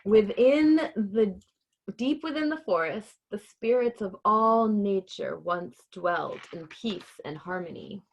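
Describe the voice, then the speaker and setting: A woman's voice: mid-range voice, animated, slow, dull audio. A woman hosting a live read-along, chatting casually and playfully and reading a storybook aloud.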